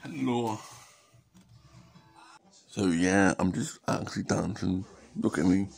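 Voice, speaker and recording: A young man from England talks casually to a close-up webcam in a small dim living room, his voice worn and fading. He mumbles along, cracks jokes and narrates his own antics in the third person, in a somewhat low register. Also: slow pace, vocal fry, darkish tone, breathy edge, faint music behind. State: losing his voice. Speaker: vlogger. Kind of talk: casual rambling self-commentary. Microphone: webcam or phone camera at close range.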